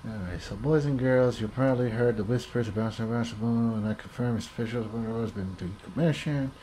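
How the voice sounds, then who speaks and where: A man's voice: mid-range voice, brisk, warm tone. A man giving casual video game commentary, muttering asides to himself as he plays. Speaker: video game player, host. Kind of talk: casual let's play commentary. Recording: noisy home recording over game sound.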